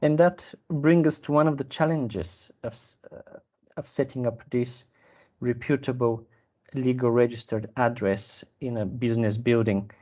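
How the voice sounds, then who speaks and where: A man, a sales manager explaining a client case in a measured, careful way with pauses to find words. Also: medium pitch, fairly even intonation, a little mellow, dull audio. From Bulgaria. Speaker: sales and business development manager. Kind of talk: business interview.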